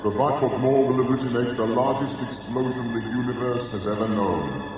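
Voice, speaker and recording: A man's voice: medium pitch, moderate pace, close to an everyday voice. An actor playing a grave, stern and lordly alien elder statesman who makes solemn pronouncements in a radio science fiction drama. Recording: cavernous reverb with music behind.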